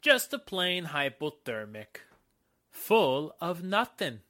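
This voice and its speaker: A man's voice: a little high, sing-song, slow pace, fairly bright tone, heavily acted. An actor playing a menacing American gangster henchman in a 1940s radio drama, talking in sneering, slangy tough-guy style. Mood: smug.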